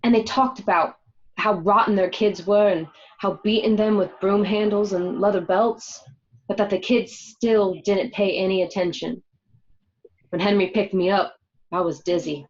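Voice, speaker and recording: A woman's voice: medium pitch, fairly even intonation, normal speed, natural voice. A woman acting a candid, uneasy young gangster's wife in a radio play. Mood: frank, disdainful. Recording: slightly dull home recording.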